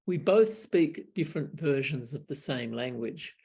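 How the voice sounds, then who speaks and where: An older man with an Australian accent speaks over a home webcam call. His voice is gravelly and a little husky, his delivery reflective and wry, broken by restarts and small chuckles. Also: mid-range voice, animated, unhurried, mellow. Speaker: Buddhist monk. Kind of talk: casual storytelling on a video call. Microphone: video call webcam.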